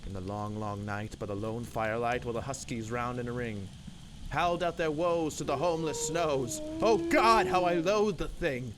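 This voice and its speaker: Man reciting dramatic verse over loud noise. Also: medium pitch, moderate pace. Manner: theatrical, rhythmic, emphatic. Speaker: poem reader. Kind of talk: dramatic narrative poetry recitation. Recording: loud background noise and ambience.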